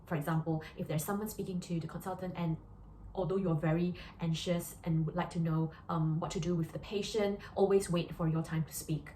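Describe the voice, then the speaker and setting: A woman's voice: fairly low pitch, steady tone, fairly quick, dark tone. Chatty Malaysian woman in an echoey room. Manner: earnest, chatty, many fillers and restarts. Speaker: doctor. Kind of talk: casual vlog monologue. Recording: echoey room with faint noise.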